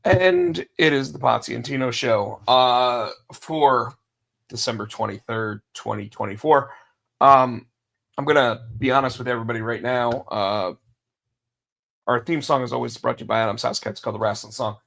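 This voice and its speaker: A man with a gravelly, crackling voice hosts a casual podcast on his own. He is under the weather and losing his voice, so he clears his throat often. He rambles along with fillers and restarts at an ordinary middle pitch. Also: steady tone, normal speed, fairly bright tone. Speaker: podcast host. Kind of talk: solo casual wrestling podcast talk.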